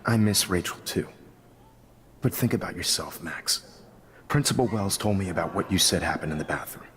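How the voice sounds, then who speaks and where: Composed middle-aged teacher, lowish voice, measured and probing, naturalistic game drama. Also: male voice, a bit bright. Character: composed male photography teacher, smooth, gently probing. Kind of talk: naturalistic video game acting.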